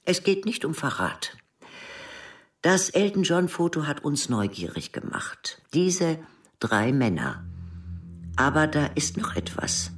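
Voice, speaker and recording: An actress playing a secretive intelligence officer, terse and matter-of-fact, in a radio drama. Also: female voice, low voice, very animated, takes it slowly, far from the mic, heavily acted. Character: secretive female intelligence officer briefing a colleague. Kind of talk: radio drama acting, low dialogue.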